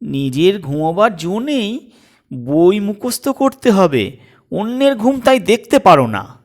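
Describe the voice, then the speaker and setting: Man acting playful young wife, bantering, light tone, high-pitched, animated, audio comedy. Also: slow, exaggerated voice. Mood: playful, complaining.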